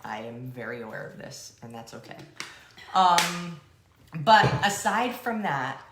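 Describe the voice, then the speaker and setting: A woman's voice: sits low, big pitch swings, a little slow, echoey room, background noise. A woman co-hosting a coaching show, chatting casually and rambling off on playful, self-deprecating tangents.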